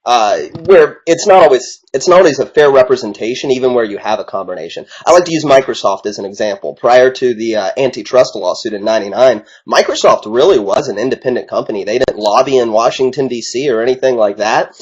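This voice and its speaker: A thoughtful man on a discussion panel reasons his way through an answer, pausing to find his words. His voice is middle-pitched. The recording sounds dull and lo-fi. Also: expressive, husky.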